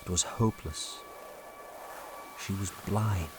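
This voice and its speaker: A man narrating a story dramatically, building suspense with short weighted phrases and pauses. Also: deep voice, noisy background. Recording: loud storm ambience behind narration.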